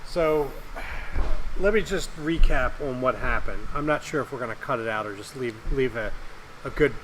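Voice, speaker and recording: A man co-hosting a casual podcast, slightly raspy from a recent cough, rambling and joking. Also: mid-range voice, moderate pace, fairly bright tone, a little hiss or hum, poor audio. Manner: rambling, jokey, swears casually. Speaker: podcast co-host and producer.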